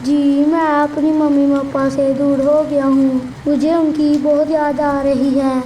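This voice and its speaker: A woman voicing a lost little boy elephant, gentle, earnest and homesick, in a children's cartoon. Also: high voice, takes it slowly. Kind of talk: children's cartoon story acting. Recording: distant voice with steady rain noise.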